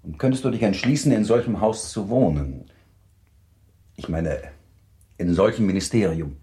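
An actor playing a courteous, affectionate middle-aged baron in a radio play, gentlemanly and gently probing in manner. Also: male voice, sits low, normal speed, lightly acted. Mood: pleased, playful. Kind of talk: German radio play acting.

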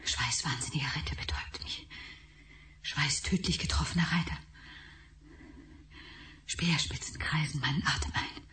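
A woman's voice: low voice, a little slow, long pauses, bright, forceful tone. An actress playing a broken young woman who whispers her poetic, fading thoughts in a radio drama.

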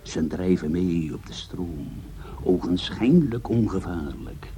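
Very deep, solemn radio-play narrator. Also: male voice, expressive, slow, mellow. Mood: ominous. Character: solemn, dramatic storyteller of a mock-heroic adventure. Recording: noisy radio play soundscape.

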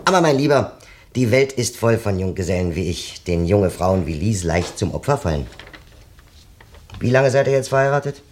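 A man's voice: fairly low pitch, lively intonation, normal speed, a little mellow, lightly acted. An actor playing a sly bachelor friend in a vintage radio crime play, mockingly needling the husband about his wife.

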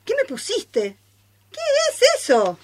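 A woman's voice: on the high side, huge pitch swings, slow, full character voice. An Argentine woman acting a plaintive, gullible and easily alarmed test subject in a radio play. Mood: panicked. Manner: plaintive, panicky.

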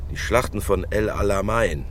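A man's voice: fairly low pitch, moderate pace, natural voice. Actor as a stern, lecturing father over car noise. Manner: lecturing, authoritative. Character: stern German engineer father, lecturing and patronising. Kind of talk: naturalistic radio drama acting. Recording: clear car and street noise.

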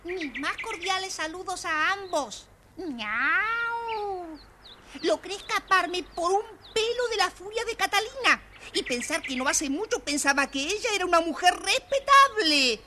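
A woman's voice: very high voice, animated, measured pace, background noise. A woman voicing a melodramatic, aggrieved old cat in a children's audio story, lamenting theatrically with meows. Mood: haughty.

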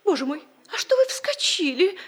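A woman's voice: very high-pitched, very animated. An actress playing a flirtatious, theatrical, much-married middle-aged mother in a 1960s radio comedy, gushing and giggly, drawing out her words. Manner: coquettish, giggly, gushing, drawn-out delivery.

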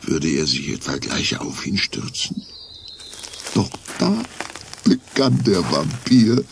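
Deep, gravelly male storyteller. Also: sing-song, slow pace, background noise. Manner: dramatic, suspenseful delivery. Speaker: narrator. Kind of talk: scripted storytelling for a children's radio play.

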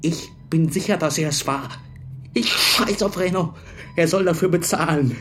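A man's voice: fairly high pitch, natural voice. Bitter, battered male goalkeeper played by an actor. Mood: angry. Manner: aggrieved, halting testimony. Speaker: actor in audio crime drama. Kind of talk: German radio crime drama acting. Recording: faint music under the voice.

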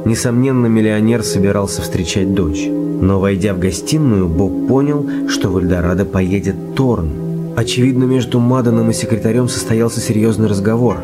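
A man's voice: lowish voice, moderate pace. A man giving scripted narration for a detective radio play. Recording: radio play with loud music behind.

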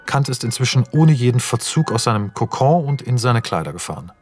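A man's voice: mid-range voice, very animated, brisk. Casting: male narrator for radio drama, mid-range voice, reads stage directions precisely and factually, faint music. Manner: matter-of-fact, precise. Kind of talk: scripted narration in a German radio play.

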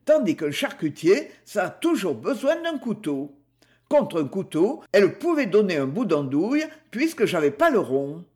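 A man's voice: sits high, sing-song, normal speed, darkish tone. A man playing a shabby tramp with an alcohol-worn voice who rambles through slangy excuses. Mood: excusing. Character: shabby old male tramp, sly and easygoing.

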